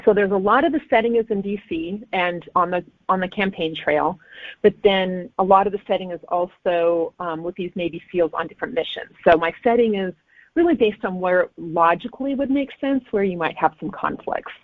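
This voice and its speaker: A woman's voice: on the low side, brisk, no echo. A woman speaking as an author guest in a casual interview chat. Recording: thin phone-like line.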